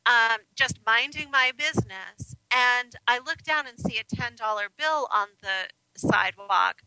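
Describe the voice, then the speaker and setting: An American woman talks conversationally as a guest on a radio show. Her voice is strikingly bright and somewhat high, with a dry, close sound. She is friendly and reflective as she explains things. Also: expressive, unhurried, clear, resonant tone, no room sound, low-quality recording. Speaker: radio guest, life coach. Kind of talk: conversational interview answers.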